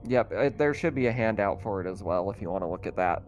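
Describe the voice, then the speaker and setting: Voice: sits low, expressive, fast talker, darkish tone. Game master talking players through a tabletop rpg, explaining stuff casually, bit of music behind. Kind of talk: running a tabletop roleplaying game.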